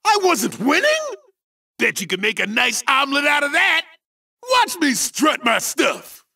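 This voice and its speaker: An actor voicing a brash, boastful male chicken kart racer in a video game, with a cartoonish, squawky, shouty delivery. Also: sits high, huge pitch swings, measured pace, vocal fry, far from the mic, full character voice. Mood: cocky. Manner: cocky, boastful, wisecracking. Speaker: game character.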